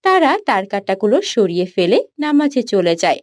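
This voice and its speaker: A woman's voice: medium pitch, sing-song, moderate pace, dry recording, clean studio sound. A woman reading scripted narration for a children's cartoon story.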